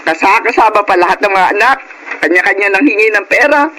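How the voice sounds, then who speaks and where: A woman's voice: medium pitch, expressive, fast, pushed and bright, a little hiss or hum, poor audio. A Filipino woman acting an indignant middle-aged wife and mother in an emotional radio drama, scolding and fed up with her in-laws. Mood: bitter, worried.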